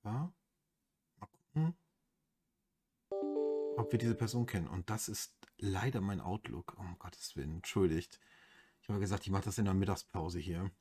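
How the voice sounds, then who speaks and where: A man with a German accent giving casual game commentary as a let's play host, in a husky voice. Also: low voice, normal speed, pauses often, warm tone.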